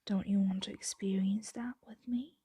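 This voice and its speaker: A woman voicing a possessive, doting female dragon in an intimate ASMR roleplay, speaking softly and deliberately and often slipping into a whisper. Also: medium pitch, steady tone, husky, no room sound. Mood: tender, puzzled.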